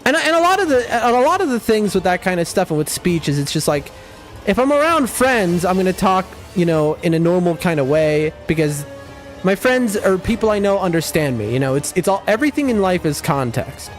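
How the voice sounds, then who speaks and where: A young man streaming a game, shouting during fights and rambling to his chat between them. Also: sits high, fairly quick, faint music behind. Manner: excitable, loud outbursts during fights; rambling, many fillers and restarts when chatting. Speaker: gaming streamer. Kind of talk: live gameplay commentary and chat talk.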